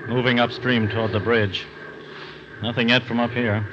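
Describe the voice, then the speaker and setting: An actor playing an intent FBI agent reporting tersely from a plane in a 1940s radio drama. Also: male voice, medium pitch, flat delivery, moderate pace, heavy background noise, lightly acted. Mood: intent, watchful. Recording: muffled 1940s radio, loud engine drone. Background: loud aircraft engine.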